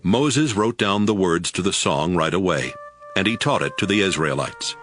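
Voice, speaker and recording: Low-pitched male scripture narrator. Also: expressive, normal speed, a bit bright, soft background music.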